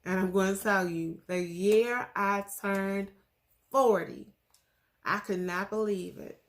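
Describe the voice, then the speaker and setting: Woman in her forties, slow halting delivery, gravelly fry, earnest casual vlog talk. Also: mid-range voice, fairly even intonation. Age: 40s. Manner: earnest, halting with many fillers. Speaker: vlogger.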